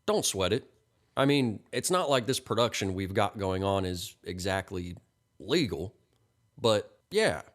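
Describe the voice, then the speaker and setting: A man's voice: on the low side, very animated, measured pace. A man voicing a friendly, pushy, folksy middle-aged stranger in an audiobook story, with a funny accent. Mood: chummy, curious. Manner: folksy, chummy, coaxing.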